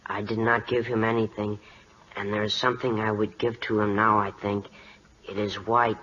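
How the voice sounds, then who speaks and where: A man's voice: on the low side, little pitch movement, a bit bright, a little hiss or hum, close to an everyday voice. Man playing an earnest young prince, muffled radio. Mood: shy, earnest. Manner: halting, polite, earnest. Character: shy, earnest adolescent Siamese prince, loyal and respectful. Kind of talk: old-time radio drama acting. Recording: muffled vintage radio broadcast.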